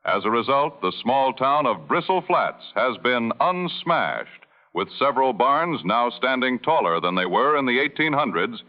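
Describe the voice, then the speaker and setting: A man playing a bombastic satirical radio news anchor, reading a scripted mock bulletin with deadpan seriousness. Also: medium pitch, dull audio.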